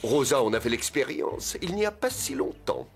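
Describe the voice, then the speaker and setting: A man voicing a wise, patient male animal in a French cartoon dub, speaking as a gently corrective sage. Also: on the high side, sing-song, moderate pace, creaky, soft background music.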